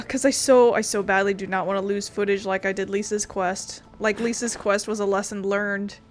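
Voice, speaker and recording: A woman giving casual, chatty let's play commentary as host of a gaming video. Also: medium pitch. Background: faint game music.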